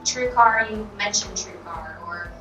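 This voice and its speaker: A woman's voice: mid-range voice, measured pace. An American woman giving practical explanations of her work practice in a group discussion. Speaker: car dealership employee, workshop participant. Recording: echoey room, distant mic, background music.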